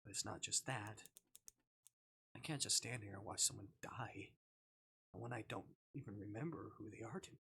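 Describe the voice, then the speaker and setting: A man voicing a gentle, earnest AI companion with a wiped memory, hesitant and pleading, in a visual novel reading. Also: on the low side, normal speed, creaky, long pauses, slight background noise.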